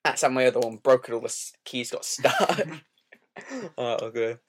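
A man's voice: medium pitch, steady tone, normal speed. A young man hosting a casual gaming podcast, stumbling on his words now and then and swearing freely. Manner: swears freely, stammers on restarts. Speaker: podcast host.

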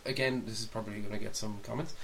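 A man's voice: fairly low pitch, steady tone, very fast, vocal fry, fairly bright tone. A man co-hosting a podcast, chatting casually and giving opinions in a rambling way that often doubles back on itself. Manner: rambling, backtracking, mildly sweary. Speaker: podcast co-host. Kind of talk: casual opinionated chat about films and games.

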